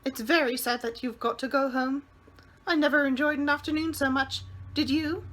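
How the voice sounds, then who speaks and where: Merry eccentric old gent voiced by a woman, bright storybook read. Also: high-pitched, steady tone, moderate pace, voice pushed up. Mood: wistful. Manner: merry, fond exclamations. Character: jolly, eccentric older gentleman.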